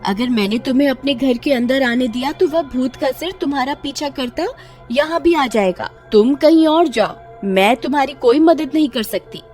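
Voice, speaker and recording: A woman's voice: high voice, normal speed, a little mellow, faint music behind, pitched above the performer's own voice, close to an everyday voice. A woman voicing a wary, unyielding village housewife in a horror cartoon, alarmed and firm. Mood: wary, refusing. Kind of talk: Hindi horror cartoon character voice.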